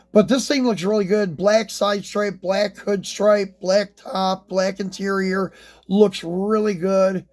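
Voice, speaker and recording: A man hosting a die-cast car channel, chatting casually through a review, relaxed and rambling with plenty of ums, his voice slightly hoarse. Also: high-pitched. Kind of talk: casual unboxing and review talk.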